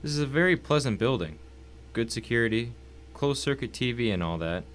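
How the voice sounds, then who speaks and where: A man acting a wealthy, cultured villain in a story drama, formal and mock-courteous, calmly threatening. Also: mid-range voice, fairly quick, natural voice. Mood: courteously menacing. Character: calm, wealthy, menacing husband, cultured villain. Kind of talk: dramatic audio reading of a short story.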